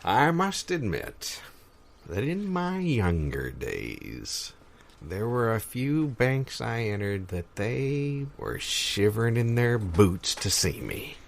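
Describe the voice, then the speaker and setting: An actor playing a cantankerous old retired bank robber in an improvised audio comedy, folksy, boastful and easily exasperated. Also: male voice, on the low side, sing-song, slow pace, creaky, slight background noise, exaggerated voice.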